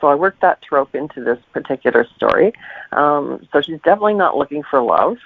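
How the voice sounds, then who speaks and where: A man novelist with a gravelly voice, answering questions conversationally as a podcast guest. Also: a little high, animated, a little fast, no room sound. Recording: dry, slightly dull lo-fi audio.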